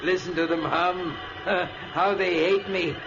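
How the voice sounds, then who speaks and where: Obsessive insect-hating professor, muffled old radio. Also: male voice, sits high, steady tone, slow pace. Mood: gloating, bitter. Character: obsessive insect-hating professor, fussy, eccentric, quietly menacing. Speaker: radio actor. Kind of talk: old-time radio drama acting. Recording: muffled old radio recording, music behind.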